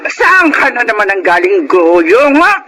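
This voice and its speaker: A woman's voice: medium pitch, very animated, unhurried, over a noisy crowd. A Filipino woman playing a weary, bitter middle-aged mother who scolds and laments in a radio drama. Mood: weary scolding. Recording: faint background noise.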